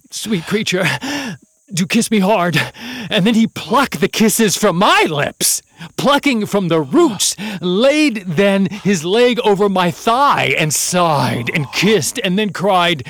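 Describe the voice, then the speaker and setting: An actor playing a scheming soldier who feigns loyalty, with a measured, insinuating delivery of classical verse in a radio play. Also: male voice, sits high, fairly bright tone, no echo, clean studio sound, exaggerated voice. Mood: lurid, teasing.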